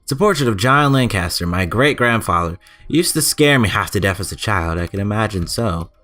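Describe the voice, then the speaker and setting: Male gaming host, lower-pitched voice, informal and chatty, music underneath. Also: animated, fairly quick, bright, forceful tone. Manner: informal, chatty, stumbles when reading aloud. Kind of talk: casual let's play commentary, reading game text aloud.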